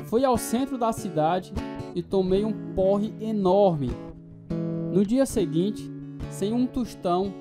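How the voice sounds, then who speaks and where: A man's voice: sits high, takes it slowly, over music. A man acting as a troubled, restless narrator telling his life story in a radio drama, with a Brazilian accent. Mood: somber, confessional.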